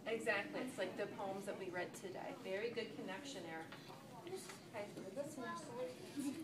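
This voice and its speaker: A woman teacher doing an interactive read-aloud for children, expressive and often prompting them with questions. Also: medium pitch, moderate pace, a loud crowd. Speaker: elementary teacher. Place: classroom with children. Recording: echoey, noisy room, distant microphone.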